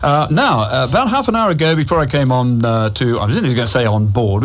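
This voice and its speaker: A man's voice: mid-range voice, slight background noise. A middle-aged man presenting music radio in a chatty, rambling way, often interrupting himself. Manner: rambling, self-interrupting, chuckling. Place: radio studio.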